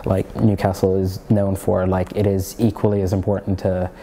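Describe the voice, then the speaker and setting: A young Norwegian-born man with an American accent, his voice low and mellow. He chats casually, rambling with many fillers and restarts. The sound carries a long reverb. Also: flat delivery, normal speed, slight background noise. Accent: American-sounding English learned in Egypt. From Norway. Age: young adult. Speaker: comedian and student guest. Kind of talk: casual interview chat.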